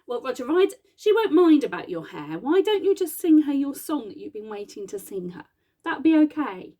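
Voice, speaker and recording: A soft-spoken woman puppeteer chatting gently and encouragingly with her puppets for children. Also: high voice, sing-song, moderate pace.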